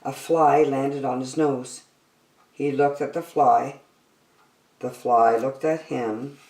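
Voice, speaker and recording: A woman reading a children's picture book aloud as a story-time host, with an expressive, dramatic delivery. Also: lowish voice. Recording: echoey room.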